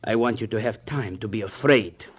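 An actor playing a menacing, gloating communist party boss in a 1950s radio drama, speaking with heavy emphasis. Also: male voice, fairly low pitch, moderate pace, slightly rough. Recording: faintly noisy old radio recording.

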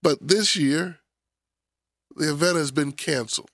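A pastor in his forties hosts a radio talk show, his voice nasal and scratchy from a cold. He talks in a playful, preacherly way, pitched a touch high, close and dry on the mic. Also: measured pace, fairly bright tone, no room sound. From Philadelphia area.

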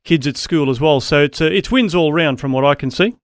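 A man hosting a radio interview, encouraging and warm in his replies, with hesitant fillers. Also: fairly high pitch, brisk, no room sound, clean studio sound. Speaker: radio host.

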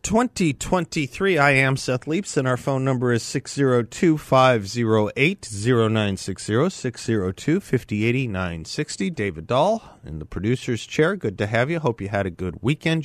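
A man hosting a talk radio show, delivering a measured opinion monologue with a slightly nasal voice from a mild head cold. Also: medium pitch, lively intonation, dry recording. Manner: measured, deliberate, lecturing. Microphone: studio microphone.

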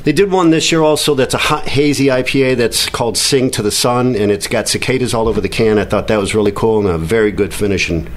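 A man's voice: medium pitch, fast talker, hardly any pauses. A middle-aged man from Ohio, a podcast guest, friendly and enthusiastic as he recommends beers. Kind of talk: casual chat.

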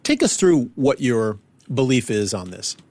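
A man's voice: medium pitch, big pitch swings, measured pace, a little mellow, no room sound. A male host interviewing a guest in a studio in a conversational way, often restarting his questions.

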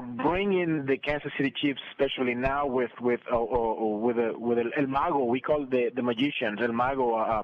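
A native Spanish-speaking man with a Latin American accent, giving halting, unscripted interview answers as a guest sports broadcaster. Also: medium pitch. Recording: dull band-limited remote radio link.

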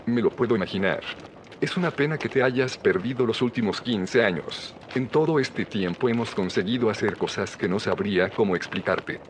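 An actor playing a calm, secretive male scientist who leads a hidden community, measured and evasive, in an audio drama. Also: on the low side, natural voice. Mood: guiding, mysterious. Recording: reverberant cave with noisy ambience.

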